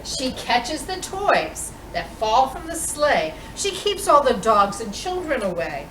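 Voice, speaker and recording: Lady reading a kids book out loud, really swoopy expressive voice w dramatic pauses, noisy background. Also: on the high side. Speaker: storytime reader playing Mrs. Claus. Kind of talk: reading a children's picture book aloud. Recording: roomy, distant microphone with clear background noise.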